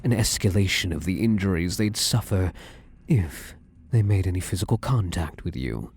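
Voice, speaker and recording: A man voicing a possessive, domineering male shadow spirit in an ASMR roleplay, speaking slowly and menacingly and often slipping into whispers. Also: sits low, animated, a little mellow, slight background noise. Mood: taunting. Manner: slow, menacing, drifts into whispers.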